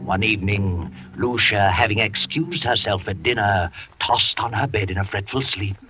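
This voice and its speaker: A man hosting and narrating a 1940s radio drama in a measured, ominous, theatrical way. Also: fairly low pitch, a little hiss or hum. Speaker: host and narrator. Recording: dull old radio broadcast with music.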